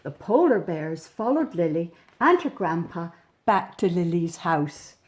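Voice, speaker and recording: A woman reading a storybook expressively to young children, gently chatting about the pictures as she goes. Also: fairly low pitch, a little slow. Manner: gentle, animated, pointing out pictures with questions to the listener. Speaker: storybook reader.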